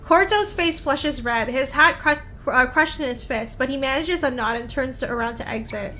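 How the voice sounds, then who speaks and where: A woman streaming a video game, chatty and expressive, switching between reading aloud and casual commentary. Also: high-pitched, normal speed, slight background noise. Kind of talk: casual playthrough commentary and read-aloud narration. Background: game music.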